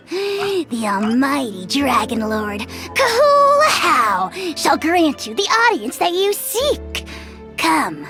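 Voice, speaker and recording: A woman's voice: sits high, fairly bright tone, full character voice. A woman voicing a tiny, haughty non-human creature who calls itself a dragon lord, speaking grandly in the royal we and mocking others, in a video game. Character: tiny pompous self-crowned dragon lord, haughty and theatrical.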